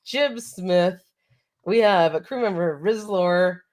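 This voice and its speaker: A woman's voice: fairly low pitch, slow pace, a little mellow. A woman co-hosting a live toy stream, congested from allergies, upbeat and laughing often as she greets chatters. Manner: upbeat, laughs often, reads out chat names. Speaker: co-host. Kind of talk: casual live-stream chat.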